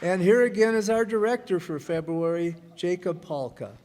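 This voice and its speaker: A man announcing in a measured, presentational way, reading formal credits from a stage. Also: sits high, expressive. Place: live stage in a hall. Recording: reverberant hall, audience noise and hiss.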